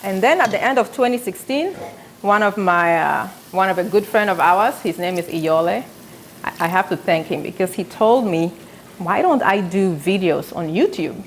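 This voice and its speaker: A woman's voice: medium pitch, expressive, normal speed, slight background noise. A woman in her thirties from South Sudan, with a South Sudanese accent, hosting a live cooking show from her home kitchen and telling stories as she cooks. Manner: chatty, storytelling while cooking. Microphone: camera on tripod, medium distance.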